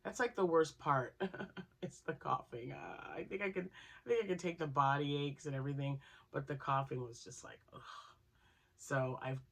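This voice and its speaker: A woman's voice: deep voice, moderate pace, fairly bright tone. A woman hosting her crochet channel, chatting casually and rambling with asides, still nasal from a cold. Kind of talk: casual chat to camera.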